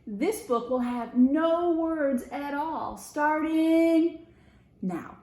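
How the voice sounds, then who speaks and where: A woman's voice: high voice, lively intonation, very slow, mellow, pitched above the performer's own voice, heavily acted. A woman voicing a bossy, exasperated, self-important male mouse from a picture book, emphatic and scolding. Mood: self-important, excited. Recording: roomy echo.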